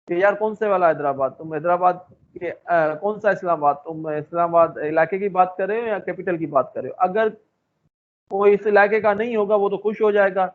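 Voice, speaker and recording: Male law lecturer, Pakistani accent, high-pitched voice, informal and halting as he explains, heard over thin phone-quality audio. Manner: halting, explains through everyday examples. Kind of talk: informal explanatory lecture mixing in English terms. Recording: muffled, lo-fi phone-like sound.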